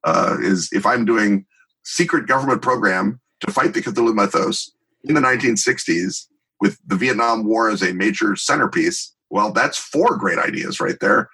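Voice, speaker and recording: Husky, bright-voiced man explaining emphatically. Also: on the low side, moderate pace, poor audio. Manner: emphatic, wry, digressive with vivid examples. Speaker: interview guest, tabletop game designer. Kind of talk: conversational podcast interview, explaining.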